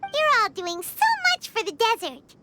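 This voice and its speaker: A woman voicing a small, cheerful fairy companion with a squeaky childlike voice in a video game. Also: very animated, a little slow, sharp tone, a little hiss or hum, heavily acted. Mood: cheerful, eager. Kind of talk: animated video game character acting. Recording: game music behind voice.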